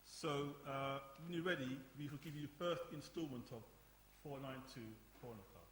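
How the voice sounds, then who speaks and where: A man giving an informal, halting live introduction as presenter of a radio play. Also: mid-range voice. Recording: echoey hall, hiss and noise.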